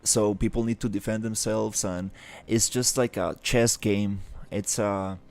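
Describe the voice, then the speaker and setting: A young man from Rica, chatting casually on a live stream, halting, with many fillers and restarts. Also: lowish voice, steady tone, darkish tone. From Costa Rica. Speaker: livestreamer.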